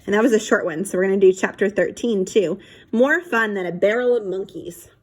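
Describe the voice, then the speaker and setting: A woman's voice: mid-range voice, fairly quick. A woman reading a children's book aloud expressively, acting out dialogue and chatting casually to young listeners between passages. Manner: animated, acts out dialogue and sound effects.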